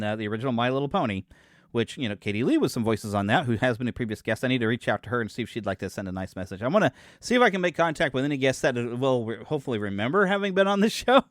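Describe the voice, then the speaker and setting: Podcast host, man in his forties, mid-pitched voice, dry close sound, rambling and self-mocking. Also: no echo. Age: 40s. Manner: rambling and chatty, loses his thread and corrects himself; self-deprecating and joking. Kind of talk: casual solo podcast chat.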